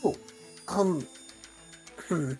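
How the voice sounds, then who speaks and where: A woman's voice: fairly low pitch, extremely slow, darkish tone, soft background music. A woman playing a tabletop roleplaying game, narrating her character's actions in a casual, rambling, informal way. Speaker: player in a tabletop roleplaying podcast.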